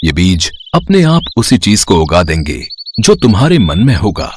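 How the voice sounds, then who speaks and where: A man's voice: sits low, very animated, normal speed, a little hiss or hum. A man voicing a kindly old holy man in a moral story, reassuring and fatherly. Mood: calm, reassuring. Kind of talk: Hindi moral story voice acting.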